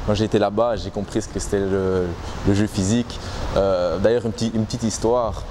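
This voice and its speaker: A man's voice: lowish voice, fairly even intonation, brisk, noisy background. A young man, talking casually to the camera about his time as a basketball player, with many restarts and fillers. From Belgium. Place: outdoors in front of trees.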